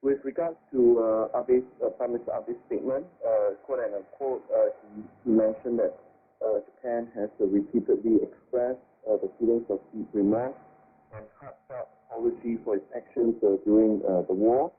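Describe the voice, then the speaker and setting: A male commentator offers deliberate, hesitant analysis in a rough, husky voice on the low side. It is heard through a narrow shortwave radio signal. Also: fairly even intonation. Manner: halting, deliberate. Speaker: guest commentator.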